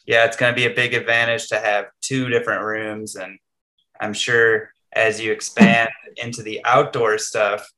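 Bright, flat-voiced man hosting a video call. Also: lowish voice, moderate pace, poor audio. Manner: easygoing, filler-heavy. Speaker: mushroom farm host. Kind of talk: casual video call chat. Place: home room with windows.

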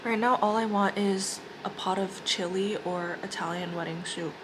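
A woman's voice: mid-range voice, fairly even intonation, normal speed. Chatty young woman, noisy kitchen. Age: young adult. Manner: chatty, narrating each step as she cooks. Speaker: vlogger. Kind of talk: casual cooking vlog narration. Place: small apartment kitchen, indoors.

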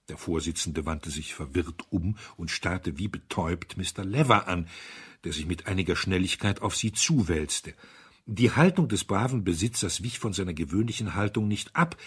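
A man reads a story as an audiobook narrator. His lowish voice is strongly husky and breathy. His intonation moves widely and dramatically.

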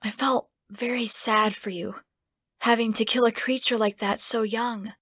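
Audiobook heroine by a female narrator: young woman, earnest, hesitant, higher register. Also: fairly even intonation, unhurried, fairly bright tone, husky, no echo, clean studio sound. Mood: hesitant, sad. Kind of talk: audiobook character acting.

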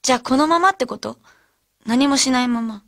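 An actress playing an impulsive, emotional and loyal high school girl, pleading insistently in a radio drama. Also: brisk, no echo, clean studio sound. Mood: upset, insistent.

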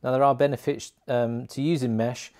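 A man's voice: medium pitch, level tone, normal speed, a little mellow. Chatty man explaining gear in a tutorial. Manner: chatty, colloquial. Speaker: motorcycle gear video host. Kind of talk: informal product tutorial narration.

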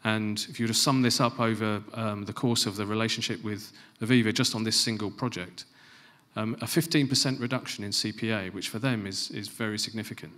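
A middle-aged man giving a conference presentation on a large stage into a handheld mic, stressing key phrases and dropping to quieter asides. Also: on the low side, fairly even intonation, brisk. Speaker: conference speaker. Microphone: handheld microphone.

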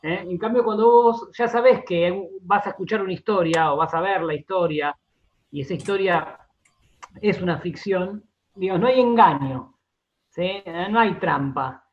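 An Argentine man with a high voice answers as an interview guest. He explains his thoughts in repeated fragments and often ends them with a short tag question. The sound is somewhat dull and lo-fi. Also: moderate pace. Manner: repeats words, checks in with "¿no?" and "¿sí?". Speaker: radio drama director, interview guest.

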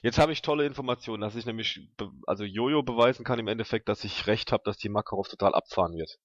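Low-voiced man chatting over a tabletop game. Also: fast, no echo, dull audio. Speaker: player in a tabletop role-playing game. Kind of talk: casual chat at a tabletop role-playing game.

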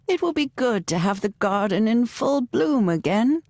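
A woman playing a feisty but kindly elderly witch grandmother in a video game, with a folksy, wry, unhurried manner. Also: fairly high pitch, sing-song, a little mellow. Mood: gentle, folksy.

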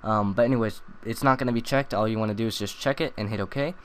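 A young man talking through a software tutorial in a loose, rambling way, full of ums and uhs. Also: fairly low pitch, fast, clean tone. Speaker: tutorial maker. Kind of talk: casual screen-recorded tutorial.

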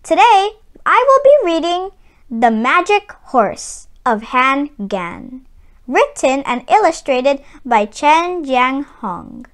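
A woman reading a children's picture book aloud as host, with deliberate, sing-song storytelling phrasing. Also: high-pitched, big pitch swings, fairly bright tone.

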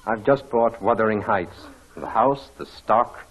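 Radio play antihero: low, husky, proud and coolly formal, with sardonic bite. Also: male voice, lively intonation, measured pace, fairly bright tone, muffled recording, exaggerated voice. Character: proud, cold, sardonic self-made gentleman with a grudge. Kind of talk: 1940s radio drama acting.

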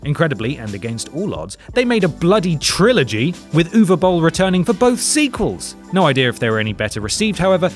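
A man with a British accent reading a scripted countdown as host, sardonic and mock-dramatic with dry asides. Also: medium pitch. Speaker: video host narrator. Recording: voice-over with music behind. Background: music.

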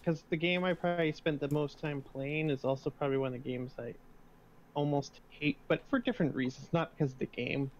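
A man talking slowly and thoughtfully with teammates over voice chat while playing a game. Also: a little high, fairly even intonation, dull audio, slight background noise. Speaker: fellow player on voice chat. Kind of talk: casual voice chat.

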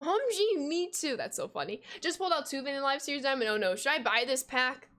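Chatty young woman streamer, slightly high. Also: fast. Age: 20s. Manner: chatty, reads chat aloud, bursts of excited gasps and laughs. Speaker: gaming streamer. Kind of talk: casual live-stream chat while playing. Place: home room at a desk.

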